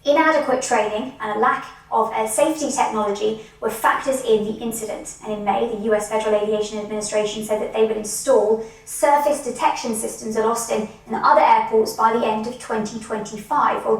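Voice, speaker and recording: A very echoey recording of a woman news anchor, sounding distant from the microphone. Her voice sits fairly high. She speaks with formal broadcast polish, as in bulletins and live interviews.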